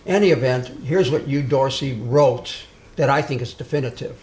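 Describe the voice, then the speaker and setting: Loud, rambling man lecturing in a roomy hall. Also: mid-range voice, moderate pace, a little hiss or hum. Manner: loud, digressive, with many restarts and asides. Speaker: speaker giving a history talk. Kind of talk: informal lecture to a small audience. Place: meeting room with a live audience.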